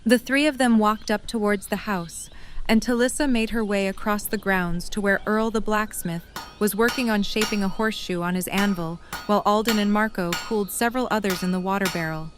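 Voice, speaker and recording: A woman's voice: mid-range voice, fairly quick, a little hiss or hum. A woman reading scripted story narration for an audio drama.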